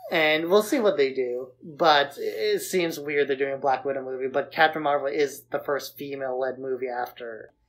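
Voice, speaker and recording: A woman's voice: extremely low pitch, moderate pace, few pauses, rough recording. A woman co-hosting a podcast, giving blunt opinions on films in casual conversation. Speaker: podcast co-host. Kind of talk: casual opinionated film discussion.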